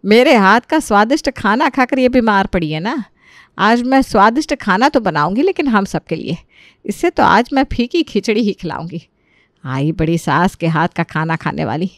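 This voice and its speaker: A woman's voice: mid-range voice, expressive, normal speed, dry recording, studio quality, natural voice. Scolding middle-aged Indian-style mother-in-law acted by a woman. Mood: grumbling. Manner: scolding, sarcastic, complaining. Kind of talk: Hindi animated moral story acting.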